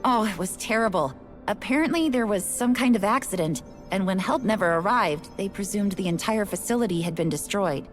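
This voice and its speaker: An actress playing a resigned, matter-of-fact station worker in a video game, explaining things in a casual, unbothered way. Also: female voice, medium pitch, animated, fairly quick, soft background music, lightly acted. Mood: candid. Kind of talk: video game cutscene voice acting.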